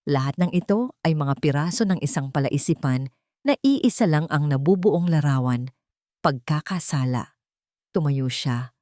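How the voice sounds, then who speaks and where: A woman's voice: deep voice, dark tone, no echo, studio quality. A woman reading a scripted romance story as narrator, with dramatic storytelling delivery.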